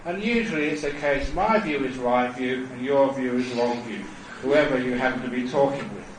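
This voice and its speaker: A Buddhist monk giving a dharma talk at a retreat, telling stories in a playful, persuasive way. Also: male voice, medium pitch, moderate pace, slight background noise. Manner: storytelling, playful and warmly persuasive. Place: temple hall. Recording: echoey hall from a distance.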